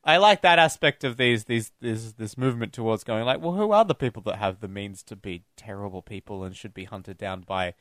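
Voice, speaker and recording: A man's voice: lowish voice, expressive, fairly quick, hardly any pauses, a bit bright, no echo, clean studio sound. A man co-hosting a film podcast, talking casually in a rambling, jokey way and swearing now and then. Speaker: podcast co-host.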